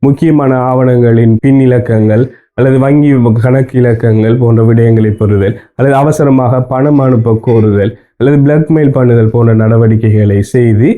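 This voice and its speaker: A man with a Sri Lankan Tamil accent narrates a news explainer. His voice is very dark and muted in tone, at a middling pitch. He explains point by point in formal, orderly phrasing, like a prepared report. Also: steady tone, moderate pace.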